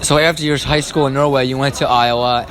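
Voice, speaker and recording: A man's voice: medium pitch, fairly even intonation, brisk, low-quality recording. A teenage man conducting a conversational interview as the interviewer. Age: teens.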